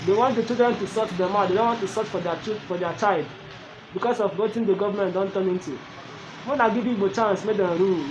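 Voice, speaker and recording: A Nigerian-accented man with a high-pitched voice talks in a pleading political rant, circling back with question after question. Traffic noise is clearly heard behind him. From southeastern Nigeria. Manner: pleading, repetitive rhetorical questions. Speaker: commentator. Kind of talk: impassioned political monologue.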